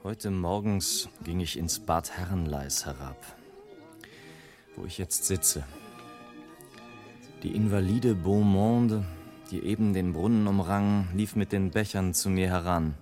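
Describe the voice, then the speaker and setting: An actor playing a scornful airship traveller in a radio play, delivering a sardonic, declamatory monologue as a bitter satirist of mankind. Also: male voice, low-pitched, slow, pauses often, mellow. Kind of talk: literary radio play monologue, late 1980s. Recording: music behind the voice. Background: music.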